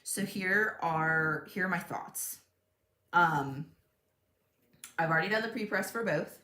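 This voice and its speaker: A young woman hosting a live crafting stream from her home craft room, chatting casually, thinking aloud, laughing often and reading out viewer comments in a loud voice. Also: lowish voice, takes it slowly, roomy sound. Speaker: crafting livestream host. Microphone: tablet mic across the room.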